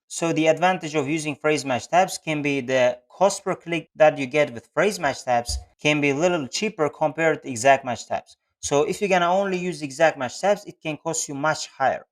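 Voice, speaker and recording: A man with a slightly high voice narrates a step-by-step screen-share tutorial. He explains things methodically, often restating his points as he goes. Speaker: online advertising tutorial presenter. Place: voice-over on a screen recording.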